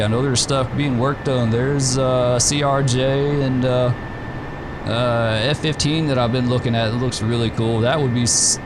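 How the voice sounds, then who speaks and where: A slightly hoarse man hosts a gaming stream, chatting through his flight in a relaxed, rambling way full of fillers. His pitch sits mid-range. A steady hum of background noise stays under his voice. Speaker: gaming channel host. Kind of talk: casual gameplay commentary.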